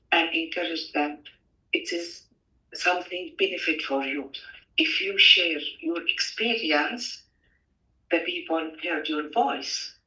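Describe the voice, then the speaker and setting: Interview participant, non-native English, sharp unhurried delivery, recorded at some distance. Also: mid-range voice. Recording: distant microphone.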